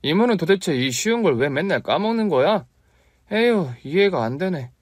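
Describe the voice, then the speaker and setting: Nagging tidy little boy, read by a man, pitched up, dry. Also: very animated, normal speed, no echo. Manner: nagging, childish. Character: fussy, tidy young boy nephew.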